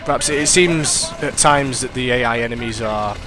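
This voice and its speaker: Sniffly young gaming commentator. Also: male voice, mid-range voice, normal speed. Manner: rambling, self-correcting, excitable reactions. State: congested, sniffly. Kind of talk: casual gameplay commentary.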